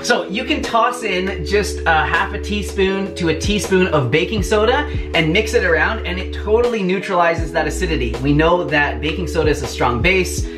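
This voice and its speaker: An upbeat young man chats straight to the camera as he cooks, addressing viewers casually and warmly. He sounds like he is in a small home kitchen. Music plays clearly underneath his voice. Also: sits high, moderate pace, few pauses. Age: young adult. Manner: upbeat, chatty, addresses viewers as "you guys". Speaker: cooking channel host. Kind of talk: casual cooking vlog to camera.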